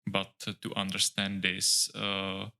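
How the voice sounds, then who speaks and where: A middle-aged man speaks English in a low, level voice with a bright, forward edge. He talks in a considered, halting way, stopping often to search for the next word. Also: monotone, creaky. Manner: thoughtful, halting, with many "uh" pauses and restarts. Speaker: architect guest. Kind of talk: casual interview chat.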